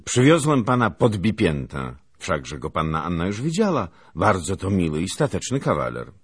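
A man's voice: low-pitched, very animated, moderate pace, husky, dry recording, clean studio sound, exaggerated voice. A man voicing a gallant young lieutenant of old, bantering with emphatic mock courtesy in a storybook reading. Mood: teasing. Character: gallant, teasing male cavalry lieutenant of old.

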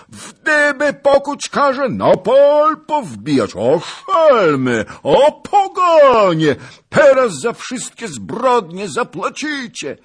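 Blustering nobleman soldier for historical audiobook: bellowed scolding, wildly swooping pitch, raspy edge. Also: a bit bright, heavily acted. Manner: bellowing, scolding, drawn-out insults. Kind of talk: dramatised audiobook of historical novel.

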